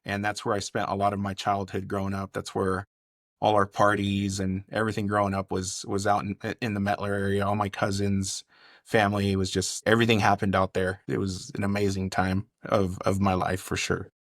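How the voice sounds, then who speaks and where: California guy, chef on a podcast, lowish voice, chatty storytelling, dry close sound. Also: monotone, brisk, dry recording. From Bakersfield, California. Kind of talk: casual interview storytelling.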